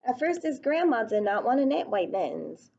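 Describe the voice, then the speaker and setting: A woman with a fairly high voice reads a picture book to little kids. Her delivery is expressive and aimed at children, with chatty questions along the way. The recording is slightly dull and lo-fi. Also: moderate pace, creaky. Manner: child-directed, expressive, asking the listeners questions. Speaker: storyteller reading aloud to young children.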